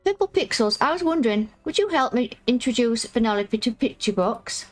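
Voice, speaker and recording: A woman reading the lines of a prim, polite young girl in a video game, with a croaky voice and formal phrasing. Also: a little high, lively intonation, brisk, faint music behind.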